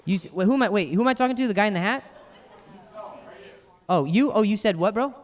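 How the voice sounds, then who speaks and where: A man's voice: high voice, fast talker. A man in his thirties with a stutter, a stand-up comedian talking to the crowd and narrating his video. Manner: animated, exasperated, escalating outbursts.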